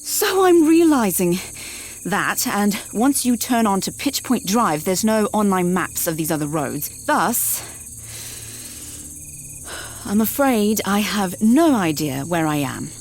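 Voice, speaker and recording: A woman's voice: medium pitch, very animated, takes it slowly, a little hiss or hum. A woman playing a dry, particular detective in an audio drama, with wry, formal phrasing. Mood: dry, testy.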